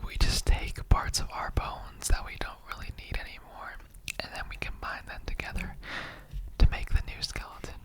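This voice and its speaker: A performer in a whispered ASMR roleplay, voicing a casual, mildly offended skeleton with a rambling, slangy, conversational way of talking. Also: normal speed.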